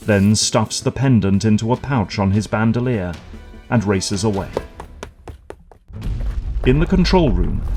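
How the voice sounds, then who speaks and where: A man delivers scripted dramatic narration as the narrator of an audio drama. His voice sits on the low side, with music clearly playing behind it. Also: a little mellow.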